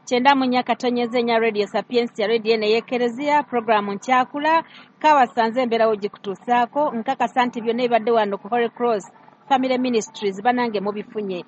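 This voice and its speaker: A woman's voice: on the high side, quick pace. A Ugandan woman hosting radio on location, presenting and interviewing at a live event. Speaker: radio host. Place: live event at a church gathering, ambience behind. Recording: dull sound with background noise.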